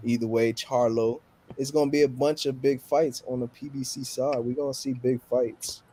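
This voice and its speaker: A man's voice: medium pitch, flat delivery, normal speed, warm tone, husky. A man on a boxing panel, emphatic and slangy, pressing his point. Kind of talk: casual boxing debate.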